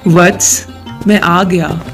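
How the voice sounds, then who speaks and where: Woman voicing a calm, low-pitched male god. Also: takes it slowly. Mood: calm, benevolent. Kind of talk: animated devotional moral story dialogue. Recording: music behind the voice.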